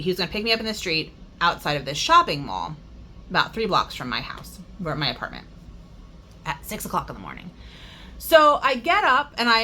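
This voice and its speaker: A chatty, extroverted woman tells a story in a casual crafting vlog, her pitch swinging up and down with lively energy. Her voice is bright and forceful. A faint hiss sits under the recording. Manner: chatty, lots of fillers and asides. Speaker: crafting vlogger.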